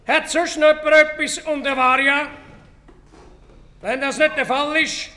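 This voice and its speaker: Shrill, officious village chairman. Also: steady tone, unhurried, sharp tone, exaggerated voice. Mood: businesslike. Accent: Swiss German. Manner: brusque, procedural. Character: brusque, officious male chairman of village assembly. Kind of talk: radio play dialogue.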